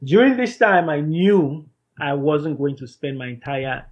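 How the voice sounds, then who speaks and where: A man in his thirties from Cameroon, a startup founder, answering interview questions in a measured, earnest way. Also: on the high side, lively intonation. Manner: measured, earnest, some restarts.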